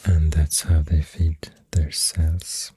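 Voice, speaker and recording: ASMR host, Swedish accent, very breathy and hushed, slow unhurried rambling, close dry mic. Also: rumbling low, little pitch movement, no echo. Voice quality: soft, breathy, whispery. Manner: hushed, hesitant, gentle rambling delivery drifting into whispers. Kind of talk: soft-spoken ASMR, rambling facts while drawing. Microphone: close ASMR microphone. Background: pencil writing and clicking sounds.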